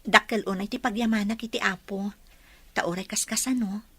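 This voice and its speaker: An actress with a Filipino accent playing a humble, grateful young village seamstress in a radio drama, polite and deferential. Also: female voice, mid-range voice, steady tone, a little fast, slightly rough, no echo, close to an everyday voice. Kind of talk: Ilocano radio drama acting.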